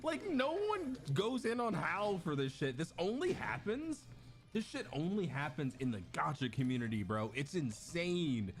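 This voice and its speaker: A man's voice: fairly high pitch, sing-song, a little slow. Rambling man on a react stream. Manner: rambling, lots of "like" and restarts, laughs easily. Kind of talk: casual react-stream chat.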